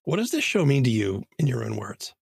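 Man, husky gravelly voice, podcast host, conversational, dry studio sound. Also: medium pitch, sing-song, a little fast, no room sound. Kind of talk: conversational interview, summarizing and asking.

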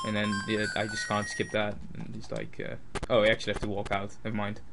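Rambling Dutch-accented young gamer. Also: male voice, lowish voice, completely flat delivery, fast talker, vocal fry, noisy background. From Netherlands. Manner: rambling, self-mocking, many fillers. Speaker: let's play host. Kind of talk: casual game commentary. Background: video game music.

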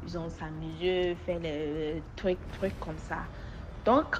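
A woman from Togo with a West African accent, speaking French as an additional language and giving an informal testimony in an animated storytelling way. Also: fairly low pitch, slow pace, background noise. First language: not French. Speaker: testimony giver. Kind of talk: informal spoken personal testimony.

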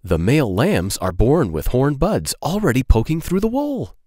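Man reading storytime with very animated pitch. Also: normal speed, no echo, studio quality. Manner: simple, clearly phrased address to children. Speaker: host of a children's read-aloud show. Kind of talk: storytime read-aloud for young children.

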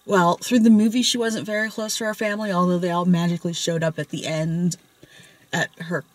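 Thoughtful female co-host, lowish voice, dry close sound, chatting in a car. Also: fairly even intonation, brisk, no room sound. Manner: thoughtful, with frequent short agreeing replies. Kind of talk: casual film discussion. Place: parked car at night.

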